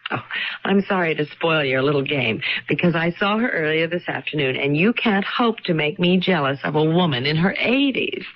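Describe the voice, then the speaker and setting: A woman's voice: low voice, expressive, moderate pace. A woman playing a cheerful, teasing young newlywed wife in a radio drama. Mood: teasing, amused. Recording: dull lo-fi radio, faint noise.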